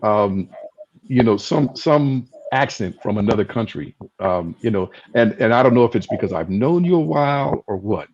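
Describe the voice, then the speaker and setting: A man moderating a panel discussion on a video call, asking thoughtful, halting questions with many fillers. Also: on the low side, expressive, a little mellow. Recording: dull lo-fi video call audio.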